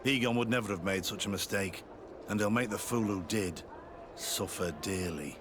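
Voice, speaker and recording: A man's voice: lowish voice, normal speed, noisy background, close to an everyday voice. A man playing a guilt-ridden, secretive army sergeant turned informant in a video game, guarded, earnest and confiding. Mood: grave. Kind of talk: video game cutscene acting.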